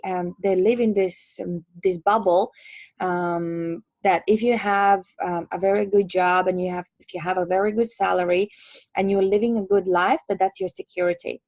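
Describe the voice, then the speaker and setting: A woman with a Latvian accent giving an online presentation, explaining in a hesitant, halting way with many filler words. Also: mid-range voice, a little mellow, no room sound. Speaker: presenter and trainer.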